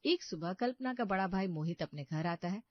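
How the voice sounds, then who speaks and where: A woman's voice: mid-range voice, lively intonation, a little fast, no echo. A woman reading scripted narration for a cartoon story. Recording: muffled dry close recording.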